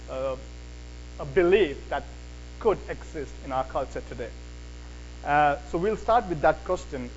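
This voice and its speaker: Guy lecturing w a slight mancunian accent, kinda high voice, lots of uhs, dull recording w hum. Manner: earnest, many "uh" fillers, rhetorical questions. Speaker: lecturer on apologetics. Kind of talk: evening lecture to an audience.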